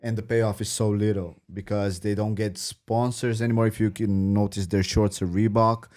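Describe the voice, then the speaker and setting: Guy from bulgaria, lowish voice, slangy casual sports podcast talk. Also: little pitch movement, moderate pace, darkish tone. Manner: slangy, informal. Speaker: podcast panelist.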